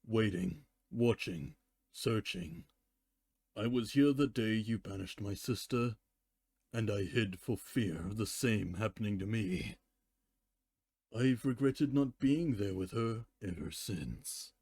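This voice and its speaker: An ancient, sorrowful pony mare, as a man reads her in a storybook style. The delivery is slow and deliberate, solemn and pleading, in a close, dry recording. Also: on the low side, a little mellow, husky, dry recording, exaggerated voice. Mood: solemn regret. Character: weary, pleading immortal female pony, aged and sorrowful. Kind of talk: dramatic fan fiction reading.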